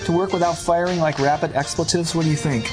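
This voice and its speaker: A man's voice: a little high, steady tone, music in the background. A male talk show host asking a guest joking questions. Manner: jokey, quick questions. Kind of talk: comic interview.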